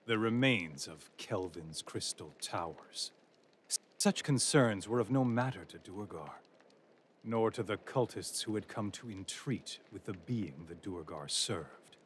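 An actor playing a grave adventurer narrating a quest in a fantasy video game, as a scripted storyteller. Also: male voice, fairly low pitch, a little hiss or hum.

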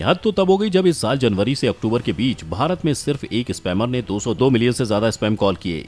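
Low-pitched, animated male narrator over music. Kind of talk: scripted explainer narration. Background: background music.

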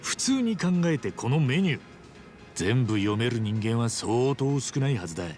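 An actor voicing a frank, big-hearted hero in a Japanese video game dub, speaking rough, emphatic casual masculine talk. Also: male voice, medium pitch, big pitch swings, moderate pace, a little mellow, faint music behind, natural voice. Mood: frank, reassuring.

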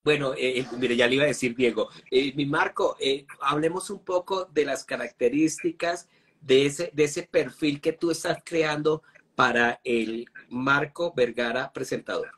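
A young man hosting a live chat, relaxed and hesitant with many fillers. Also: medium pitch, measured pace, slightly rough. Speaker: host. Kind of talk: casual live interview. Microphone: phone camera close up.

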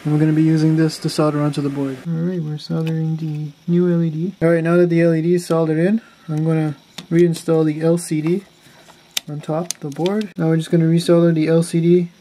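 A man calmly narrating a step-by-step electronics repair tutorial in a plain, methodical way. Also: fairly high pitch, a little fast, low-quality recording. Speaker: car electronics tutorial maker.

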